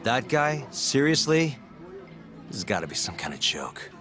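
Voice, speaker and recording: A man's voice: mid-range voice, sing-song, measured pace, over music. A man acting as a cool, stubborn detective in a video game drama, casual, wry and terse. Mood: irritated, incredulous.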